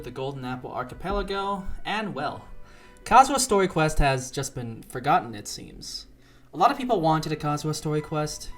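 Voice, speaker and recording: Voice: medium pitch, a bit bright. A casual, conversational, opinionated video game reviewer giving improvised commentary on a quest. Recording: voice over background music. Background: music.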